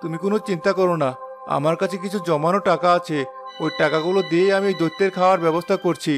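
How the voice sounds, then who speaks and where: A man's voice: sits high, steady tone, fast, lightly acted. A man playing a poor, honest villager in a cartoon fairy tale, with an earnest, anxious and pleading manner. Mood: worried. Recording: music bed under the voice.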